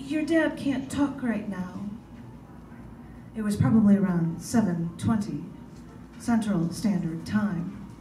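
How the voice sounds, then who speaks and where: A woman giving a live reading of her own prose and poetry in a deliberate, measured way with dramatic pauses, recorded on a distant camera mic in a bookstore. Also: lowish voice, reverberant.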